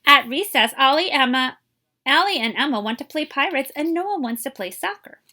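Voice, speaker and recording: Woman, children's read-aloud, librarian, high-pitched, lively intonation, expressive, explanatory. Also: moderate pace. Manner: expressive, explanatory, asks the listener questions.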